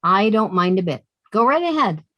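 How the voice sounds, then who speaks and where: A woman's voice: medium pitch, very animated, moderate pace, dry recording, dull audio, close to an everyday voice. A woman playing a sensible, exasperated young working woman with a sarcastic edge in a 1950s-style radio comedy. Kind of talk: 1950s radio sitcom acting.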